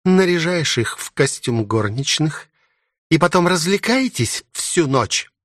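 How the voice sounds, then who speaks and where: A man plays a fierce young princess in an audiobook, lovestruck and possessive. Her lines swing upward in lively, demanding jumps, recorded close and dry. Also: on the high side, unhurried, no echo, pitched above the performer's own voice. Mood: taunting, impatient. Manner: growling, demanding, teasing. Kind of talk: audiobook character voice.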